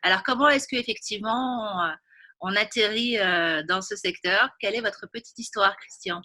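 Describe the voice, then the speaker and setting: A woman's voice: medium pitch, moderate pace, pushed and bright, no echo. A woman hosting an interview, asking long, structured questions with occasional fillers. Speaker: interviewer, host.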